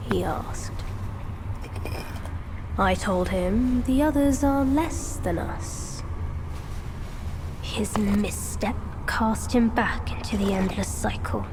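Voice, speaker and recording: An actress playing a haughty immortal woman in a game, telling an old story with measured disdain. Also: on the high side, background noise.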